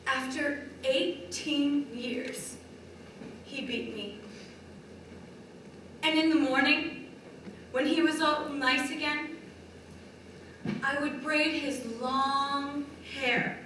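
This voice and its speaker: Woman performing battered wife, stage monologue, slow halting phrases, bright tone, wounded, far-off echoey recording. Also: high voice, fairly even intonation, exaggerated voice. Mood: subdued, haunted. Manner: deliberate, halting delivery with short broken phrases. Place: large echoey room, distant microphone. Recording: very echoey hall from far off, faint noise.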